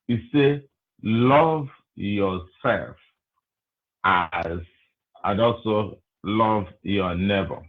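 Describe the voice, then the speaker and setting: A man from Nigeria with a Nigerian accent speaks over a tinny phone line. His voice is low and his pace slow and unhurried, with frequent pauses and fillers as he works through his greetings. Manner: slow, hesitant, many fillers. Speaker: caller. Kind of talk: call-in greetings and comment.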